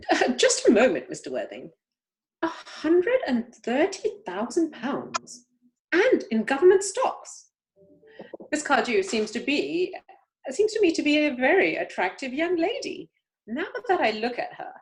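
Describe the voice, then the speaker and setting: A woman's voice: high-pitched, poor audio. A woman playing a snobbish, imperious older upper-class aunt in an amateur play reading, haughty and emphatic, stumbling now and then. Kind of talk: amateur play read-through.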